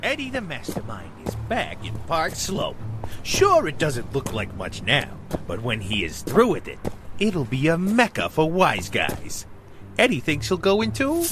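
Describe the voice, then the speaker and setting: A small-time gangster with a very high voice that swoops wildly as he blusters and brags. He talks of himself grandly, in old radio serial style, with music behind him. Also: sharp tone, heavily acted. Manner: blustering, third-person bragging.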